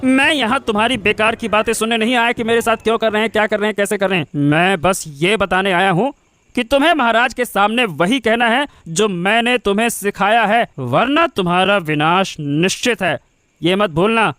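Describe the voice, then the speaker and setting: Cartoon villain: scheming chief minister, very high pitch, menacing, self-important, drawn-out delivery. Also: male voice, a bit bright, no room sound. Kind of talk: Hindi cartoon story voice acting.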